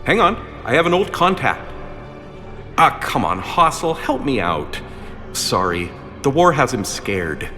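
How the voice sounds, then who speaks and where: Eager bumbling fanboy, animated pitch, bright tone, flustered, apologetic, video game dialogue. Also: male voice, unhurried, soft background music. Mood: flustered, helpful. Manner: excitable, flustered, hesitant. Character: eager, bumbling, apologetic male fan of a space commander.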